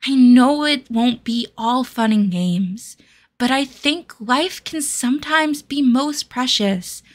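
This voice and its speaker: A woman voicing an optimistic, dreamy young adventurer in an earnest fantasy monologue. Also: fairly high pitch. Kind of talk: character demo for fantasy tabletop game.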